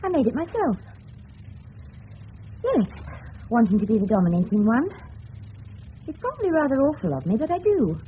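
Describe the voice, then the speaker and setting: Affectionate, seductive English wife, high and very animated, muffled vintage radio play. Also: female voice, moderate pace, pauses often. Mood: tender, coy. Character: affectionate, seductive married woman in 1930s English suburbia. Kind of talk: 1970s radio drama acting. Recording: muffled, noisy old radio broadcast.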